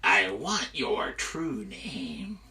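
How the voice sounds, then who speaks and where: Huge, demanding shapeshifter giant for live myth telling, performed by a man; deliberate, menacing, bright edge, distant mic. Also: medium pitch, animated. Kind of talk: live oral myth storytelling.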